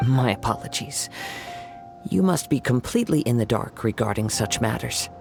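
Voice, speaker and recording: A courteous young swordsman with a scholar's curiosity. He speaks formally and evenly at a middling pitch, choosing every word with care, while soft game music plays behind him. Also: animated, gravelly. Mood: calm. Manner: formal, polite and measured. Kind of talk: game voice acting.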